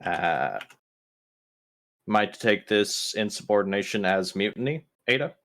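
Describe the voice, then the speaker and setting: A man playing an impatient, blunt spaceship captain in a tabletop game, giving exasperated orders with hesitant pauses. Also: on the low side, animated, a bit bright.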